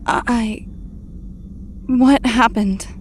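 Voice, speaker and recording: A woman playing a bewildered young mutant woman just woken from a coma, speaking haltingly in an audio drama. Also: fairly high pitch, fairly even intonation, slight background noise. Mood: dazed, surprised. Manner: halting, dazed delivery.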